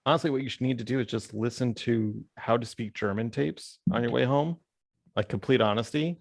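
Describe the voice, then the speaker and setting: A man's voice: mid-range voice, brisk, vocal fry, no echo. A man chatting with friends in an upbeat, teasing way. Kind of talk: casual chat among friends.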